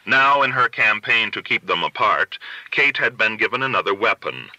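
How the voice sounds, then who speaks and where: A man narrates literary fiction with measured, articulate delivery. His voice sits fairly low yet has a very sharp, bright edge, and the sound is dry and close. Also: expressive, no room sound, poor audio. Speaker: audiobook narrator.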